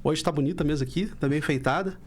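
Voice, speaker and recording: A man's voice: a little high, rapid-fire. A Brazilian man hosting a podcast, talking in an upbeat, chatty, slangy way. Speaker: podcast host. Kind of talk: casual podcast interview.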